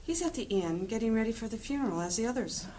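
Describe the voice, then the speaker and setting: A woman's voice: a little high, expressive, fast, warm tone. Kindly, motherly village woman, voiced by an actress. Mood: sorrowful, gentle. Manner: motherly, homely phrasing.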